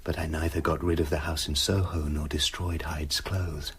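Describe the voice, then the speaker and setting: An actor playing a tormented British gentleman scientist confessing his dark side in a brooding, literary radio drama narration. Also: very deep voice, level tone, normal speed, breathy edge, heavily acted. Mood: grave, confessional.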